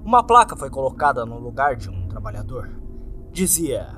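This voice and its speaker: A man's voice: medium pitch, very animated, moderate pace, a bit bright. A man with a Brazilian accent reading a scripted first-person horror story with dramatic delivery, as narrator. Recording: background music under the voice. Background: music.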